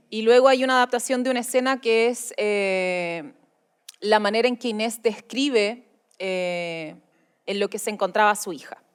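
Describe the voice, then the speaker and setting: A woman's voice: medium pitch, clear, resonant tone. A woman with a Chilean accent presenting live as an event host, informal and playful. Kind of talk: live presentation, casual chat.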